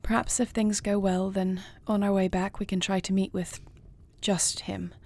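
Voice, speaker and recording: A woman playing a gentle, poised dragon queen in an intimate audio roleplay, speaking to the listener with formal, courtly phrasing and soft affection. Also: medium pitch, flat delivery, moderate pace, lightly acted. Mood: tender, reassuring. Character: gentle, poised dragon queen, newlywed, tender and dryly witty.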